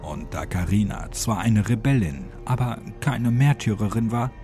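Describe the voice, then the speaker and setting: A man's voice: fairly low pitch, normal speed, dark tone, soft background music. A man narrating a scripted horror audiobook in a dramatic style.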